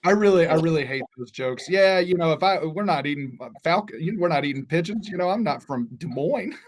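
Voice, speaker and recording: Higher-pitched American man, dryly mocking. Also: lively intonation, brisk. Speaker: podcast co-host, comedian. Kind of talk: casual teasing chat.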